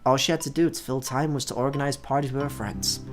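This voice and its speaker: Storytelling voice of mid pitch, over music. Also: quick pace. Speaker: let's play host. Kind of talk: expressive story narration, read aloud. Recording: music playing behind the voice. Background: background music.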